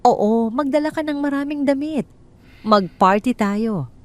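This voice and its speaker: A woman's voice: high-pitched, measured pace, darkish tone, voice pushed up. A woman voicing a smug, scheming husband who talks in a boastful, sneering way. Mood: gleeful.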